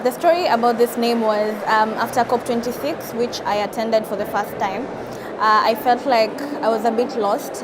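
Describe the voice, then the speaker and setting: A woman in her twenties from Kenya, answering interview questions in an earnest, measured way with frequent pauses and fillers. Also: fairly high pitch. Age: 20s. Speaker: interview guest, climate activist. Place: studio at an outdoor event. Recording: loud background ambience and traffic noise.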